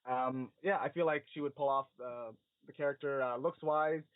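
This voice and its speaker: A man's voice: medium pitch, fairly even intonation, moderate pace, clear, resonant tone, no room sound. A man co-hosting a podcast in casual movie chat over a video call, speaking in an informal, hesitant, rambling way. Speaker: podcast co-host. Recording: thin phone-like video call audio.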